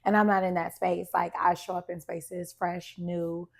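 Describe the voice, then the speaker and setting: Blunt raspy woman co-hosting a podcast. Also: on the low side, level tone, normal speed. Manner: blunt, laughing, unapologetic. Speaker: podcast co-host. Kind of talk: casual chat.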